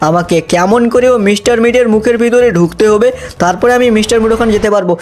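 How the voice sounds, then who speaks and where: A man's voice: sits high, fast, a little mellow. A young man, dramatically narrating a cartoon story and talking to viewers. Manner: dramatic, addresses viewers as guys. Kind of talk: excited cartoon game narration.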